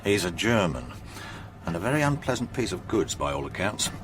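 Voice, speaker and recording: Low-voiced English chief inspector. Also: male voice, sing-song. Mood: matter-of-fact. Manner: brisk, matter-of-fact. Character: brisk, matter-of-fact English police chief inspector. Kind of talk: radio drama acting.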